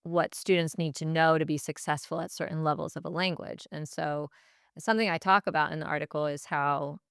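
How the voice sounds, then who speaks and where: A woman giving thoughtful interview answers as a teacher, in a reflective, measured way, pausing to choose her words. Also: low-pitched, fairly bright tone, smooth, no echo, studio quality. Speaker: Spanish teacher, podcast guest.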